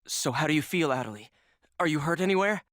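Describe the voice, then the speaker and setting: An actor voicing a brash young hero who shouts urgently for a friend. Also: male voice, on the high side, animated, fast, in a large hall. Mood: concerned.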